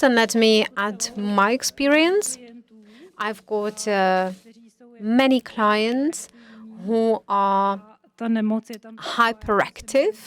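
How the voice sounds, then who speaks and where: Slow-speaking woman interpreter. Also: mid-range voice. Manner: unhurried, hesitant with fillers. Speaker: conference interpreter. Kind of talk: simultaneous interpretation at a congress.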